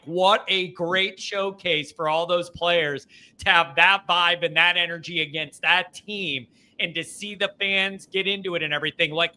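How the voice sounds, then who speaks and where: A man hosting a call-in sports show from his basement, talking in an animated, rambling way and mocking himself now and then. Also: sits high. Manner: animated, rambling, self-deprecating. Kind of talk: casual call-in chat. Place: home basement.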